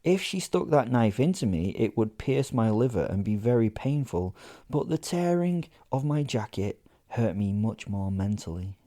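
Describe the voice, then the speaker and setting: A man's voice: lowish voice, sing-song, normal speed, dark tone. A man narrating a first-person horror comedy in character, conversational and sweary, with a sardonic, theatrically indignant manner.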